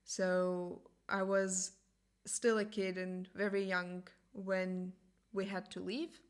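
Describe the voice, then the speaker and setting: A young adult woman whose first language is German, hosting a podcast and speaking in a reflective personal monologue. She pauses often and searches for words. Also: on the low side, level tone, clear, resonant tone. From Latvia. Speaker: podcast host.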